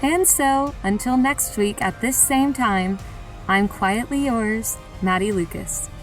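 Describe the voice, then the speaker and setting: A woman's voice: a little high, slow, soft background music, low-quality recording. A woman hosting a radio drama, reading scripted cast credits and a sign-off.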